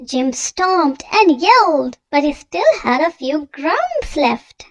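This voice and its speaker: Slow, high-pitched, childlike storybook narrator. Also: no room sound. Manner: expressive, deliberate storytelling. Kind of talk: children's read-aloud narration.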